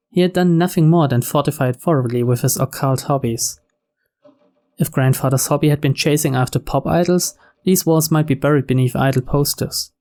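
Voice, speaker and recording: A man's voice: medium pitch, darkish tone. A man reading a visual novel aloud as scripted story narration. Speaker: video game reader. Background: game background music.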